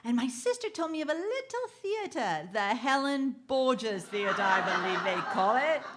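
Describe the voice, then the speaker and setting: A woman's voice: sits high, big pitch swings, moderate pace, heavy background noise. A woman playing a deferential, chatty London housekeeper in a radio drama, polite and gossipy. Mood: helpful, chatty.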